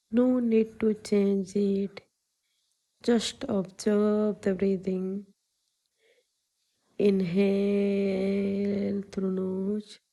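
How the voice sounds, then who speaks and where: Casting: female meditation guide, deeply mellow tone, extremely slow and calming delivery with long pauses. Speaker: meditation guide, yoga teacher. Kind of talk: guided meditation, spoken instructions.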